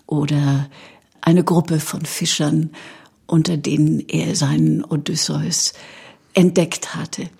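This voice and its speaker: Very raspy, creaky low-voiced woman. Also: mellow. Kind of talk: reflective personal recollection.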